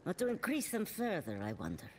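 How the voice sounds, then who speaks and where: A woman's voice: fairly low pitch, wildly swooping pitch, fairly quick, a little mellow, slight background noise. An actress playing a shrewd, playful female desert merchant in a video game, needling her customer. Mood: teasing. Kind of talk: video game character dialogue.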